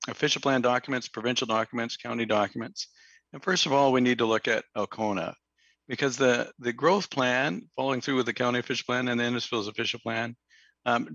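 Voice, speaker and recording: A slightly hoarse man gives a planning presentation to a council meeting, halting often with fillers and restarts. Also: medium pitch, fairly bright tone, no room sound. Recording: dull, dry lo-fi meeting audio.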